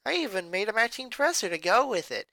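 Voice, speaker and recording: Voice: medium pitch, sing-song, fairly quick, bright, forceful tone, no room sound, voice pushed up. A fan reader voicing a bubbly, airheaded blonde teenage girl with valley-girl talk. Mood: gushing.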